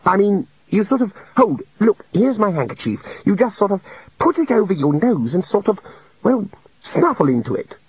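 A man's voice: sits high, very animated, moderate pace, darkish tone, breathy edge, dry recording, lo-fi sound, voice pushed up, exaggerated voice. A man doing a hesitant, earnest young wizard. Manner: hesitant, explanatory.

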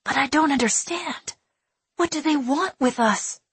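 A woman's voice: high-pitched, unhurried, fairly bright tone, dry recording, pitched above the performer's own voice. A woman voicing a frightened young boy held captive, asking anxious, eager questions. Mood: fierce.

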